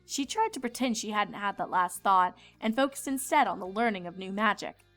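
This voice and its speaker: A woman's voice: medium pitch, big pitch swings, brisk, a bit bright, faint music behind. A woman reading fan fiction aloud as a storybook narrator.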